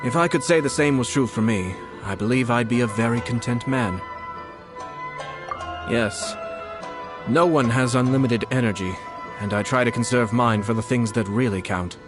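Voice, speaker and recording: An actor playing a composed, polite young nobleman in a video game, shrewd and quietly mischievous, speaking in measured phrases. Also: mid-range voice, music in the background, close to an everyday voice. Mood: pleasant, reflective. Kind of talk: video game character acting.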